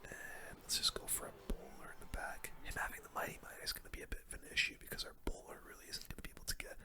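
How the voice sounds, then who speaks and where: A gaming host whispering ASMR commentary in a breathy, unvoiced whisper, with relaxed, casual gamer slang. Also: rapid-fire.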